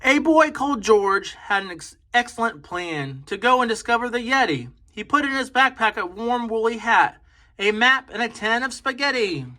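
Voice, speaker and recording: A man reading a children's picture book aloud in an expressive, emphatic storytelling manner. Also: very high voice, moderate pace. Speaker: storybook reader on a children's channel.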